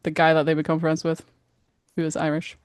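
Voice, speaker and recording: A woman's voice: deep voice, completely flat delivery, a little fast, no echo. Teasing woman, casual and dry-sounding recording. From Norway. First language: Norwegian. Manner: teasing, informal, sweary. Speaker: podcast co-host. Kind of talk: casual chat, hosting a quiz.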